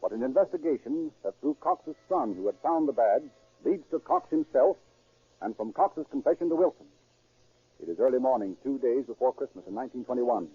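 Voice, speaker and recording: A man's voice: mid-range voice, expressive, fairly quick, warm tone, breathy edge. A man narrating a true crime radio drama in a dramatic 1930s broadcast style. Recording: dull, muffled 1930s radio recording.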